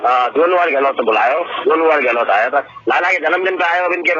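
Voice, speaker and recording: A man's voice: on the high side, fast, pushed and bright, a little hiss or hum. A man with an eastern Rajasthan Bharatpur accent chatting casually and boastfully, rambling and repeating himself on a recorded phone call. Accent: eastern Rajasthan (Bharatpur) regional Hindi.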